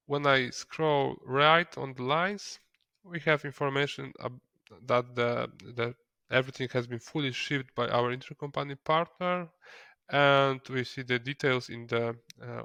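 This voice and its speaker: A non-native English-speaking man narrating a software tutorial step by step, in a hesitant way. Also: mid-range voice, gravelly, clear, resonant tone, no echo. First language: not English. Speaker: software trainer.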